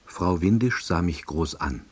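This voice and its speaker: A self-important, awkward salesman narrates a radio drama in a low, husky voice. His delivery is dry, earnest and full of bureaucratic wordiness. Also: unhurried. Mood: wry, earnest. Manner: dry, wordy, officialese.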